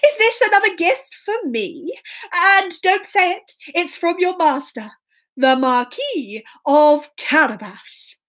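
A woman's voice: extremely high pitch, expressive, takes it slowly, fairly bright tone, voice pushed up, heavily acted. A woman voicing a kindly, grand king with exclaiming delivery in a children's story. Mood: pleased, curious. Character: kindly, easily pleased storybook king, a father. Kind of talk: children's storytelling with acted voices.

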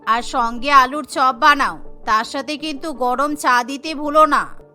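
A woman's voice: high-pitched, a little slow, bright, forceful tone, soft background music, pitched below the performer's own voice, heavily acted. A woman voicing a fussy, commanding middle-aged mother-in-law in a Bengali family cartoon. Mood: bossy. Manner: commanding, scolding. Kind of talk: cartoon character dialogue.

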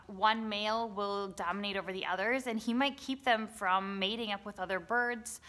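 Woman in her thirties, bright and chatty, casual vlog from a barn coop on a handheld phone. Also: mid-range voice. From Wisconsin, United States. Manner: chatty, lots of ums and likes. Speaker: hobby farmer vlogger. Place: inside a wooden barn pigeon coop. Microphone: phone held at arm's length.

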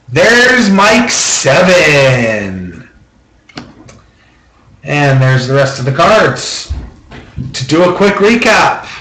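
A man with a slightly high voice hosts a card draw at a tabletop. He takes his time, announcing each step in a methodical, repetitive pattern. The small room around him adds an audible echo. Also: fairly bright tone. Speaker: host of a trading-card box-break auction. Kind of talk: running card-deal commentary. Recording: roomy echo.

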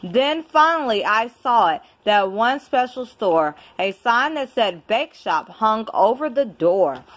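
A woman reading a children's picture book aloud at home in an expressive, deliberate storybook manner. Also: fairly high pitch. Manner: measured, deliberate storybook delivery. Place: indoors at home.

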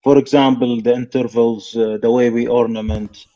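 A middle-aged man hosting a podcast over a video call on a headset, asking questions in a halting way with many fillers. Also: mid-range voice, flat delivery, warm tone, dull audio. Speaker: podcast host. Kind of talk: interview questions.

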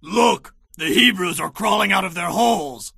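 An actor playing a jeering, aggressive enemy soldier in an audio Bible drama. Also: male voice, high-pitched, expressive, normal speed, creaky, sharp tone, slightly rough, no echo, studio quality, exaggerated voice. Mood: taunting.

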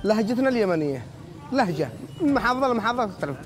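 A man's voice: sits high, sing-song, racing, music in the background. A man with a Yemeni accent co-hosting a street quiz, chatting politely with older passers-by. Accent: Yemeni Arabic. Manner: friendly, polite, chatty with elders. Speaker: co-host. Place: outdoor street in a town. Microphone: handheld mic.

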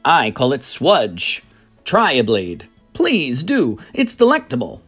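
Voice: mid-range voice, huge pitch swings, slow, a bit bright, slightly rough, faint music behind, full character voice. A narrator voicing a flamboyant, boastful man who owns a storybook factory, theatrical and full of exclamations. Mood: delighted, boastful.

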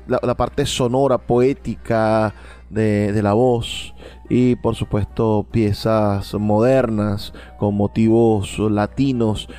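A man hosting a radio show, giving reflective music commentary in a halting, searching way. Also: fairly low pitch, mellow. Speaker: radio host.

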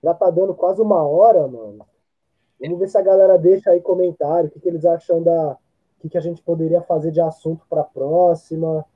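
A man with a husky, high voice chats loosely on a video call, spinning a story with laid-back slang. The sound is dull and lo-fi, like a laptop mic. He talks from a room at home. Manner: relaxed storyteller, drawn-out slang asides. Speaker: podcast co-host. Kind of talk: casual chat on a video call. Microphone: laptop or earphone mic on a video call.

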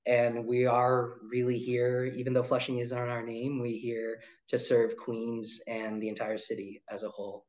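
A man in his thirties, an arts director, answering interview questions in a relaxed way with many ums and uhs. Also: mid-range voice, moderate pace, hardly any pauses. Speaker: arts nonprofit director, guest.

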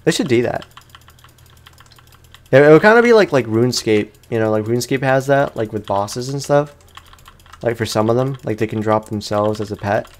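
A man's voice: medium pitch, animated, moderate pace, pauses often. A man hosting a let's play, chatting casually and playfully in slangy speech as he comments on the game. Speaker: gamer, let's play host.

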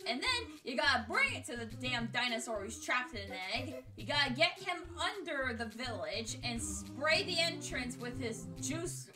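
A woman's voice: high-pitched, big pitch swings, normal speed, few pauses, recorded from a distance. A woman hosting a gaming video, giving casual scripted countdown commentary in an exasperated, sweary, jokey way. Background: music.

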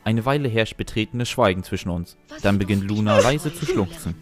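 Young man, lowish voice, story narrator, scripted first-person delivery, faint music behind. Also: animated, moderate pace. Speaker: narrator and reader of a fan fiction. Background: background music.

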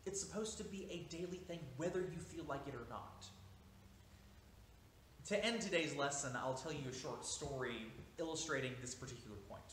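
A man's voice: a little high, normal speed, a bit bright. A man in his thirties, a priest, giving an informal seated lesson in a small church, explaining at length with fillers and restarts. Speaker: priest teaching a class. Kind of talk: informal lecture. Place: small church interior, seated near a window. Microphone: camera at a few meters. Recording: echoey church room with faint hiss.

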